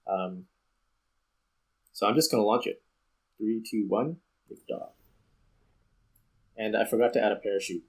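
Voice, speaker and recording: Male game streamer, lowish voice, casual and a little hesitant, explaining while he plays. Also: a little slow, pauses often, a little mellow, breathy edge. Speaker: video game streamer and host.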